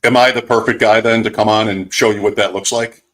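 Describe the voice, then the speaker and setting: A man's voice: lowish voice, steady tone, fairly quick, a bit bright. A man who hosts a radio show, joining a stream over a video call and talking in an easygoing, soothing way. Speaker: radio host joining as a guest.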